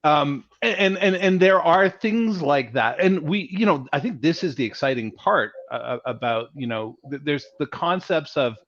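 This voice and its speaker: A man's voice: a little high, expressive, measured pace, hardly any pauses, fairly bright tone, highs cut off. A man in his forties speaking on a panel over a video call, telling a funny personal story with frequent stammered restarts. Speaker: panelist, software executive. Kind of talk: panel discussion.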